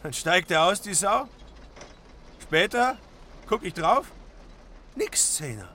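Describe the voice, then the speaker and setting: A gruff, angry cab driver in a radio play. He barks coarsely and mistrustfully in a sharp, slightly high voice, with drawn-out pacing. Traffic and engine noise run behind him. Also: very animated, exaggerated voice. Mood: furious. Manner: irritable, barking, coarse. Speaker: actor. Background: car and street noise.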